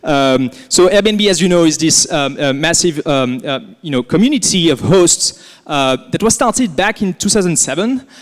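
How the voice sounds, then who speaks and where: A man's voice: a little high, moderate pace. French-accented man speaking in an echoey hall. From France. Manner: relaxed, many fillers and repeated words. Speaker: guest speaker. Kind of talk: casual workshop presentation.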